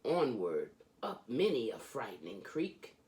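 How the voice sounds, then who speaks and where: A woman reading a children's storybook aloud as host, with theatrical, emphatic delivery and drawn-out phrases. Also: very deep voice, very animated, warm tone.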